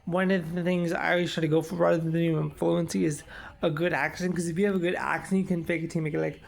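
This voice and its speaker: A young man in his twenties talks to the camera in a loose, rambling vlog style, with many fillers and restarts. His voice is strongly gravelly, with heavy fry, and sits a little high for a man. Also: little pitch movement, fast talker. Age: 20s. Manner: rambling, lots of "like" and "um". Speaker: vlogger. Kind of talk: casual vlog chat.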